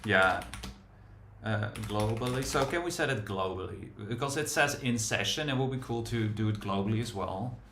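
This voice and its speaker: Man, Dutch accent, lowish voice, halting think-aloud screen-share talk, echoey room. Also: a bit bright, slight background noise. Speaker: developer testing a tool on screen. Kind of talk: casual live screen-share chat.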